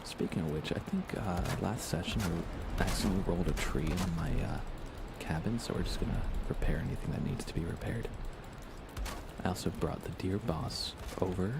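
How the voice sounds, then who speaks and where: Soft-spoken male ASMR game streamer, deep and crackly, murmuring unhurriedly close to the mic over audible background noise. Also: vocal fry. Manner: gentle, unhurried, thinking aloud. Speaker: live streamer, host.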